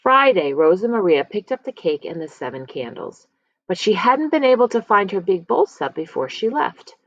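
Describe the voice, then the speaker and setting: A woman reading a children's picture book aloud as a storyteller, expressively, with dramatic pauses and emphasis. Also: mid-range voice, sing-song, dry recording. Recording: slightly dull, lo-fi close recording.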